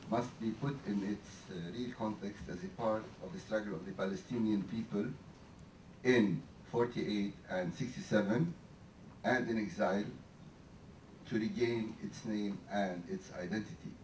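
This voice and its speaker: Low-voiced man, distant in a hall. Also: normal speed. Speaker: panel speaker, writer. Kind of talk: formal speech to an audience. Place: lecture hall. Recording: distant mic in an echoey hall with noticeable hiss.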